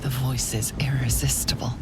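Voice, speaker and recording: An actress playing a grave, ominous narrator of a fantasy video game, measured and hushed. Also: female voice, a bass voice, little pitch movement, loud music behind the voice. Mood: hushed, foreboding. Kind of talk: scripted second-person game narration.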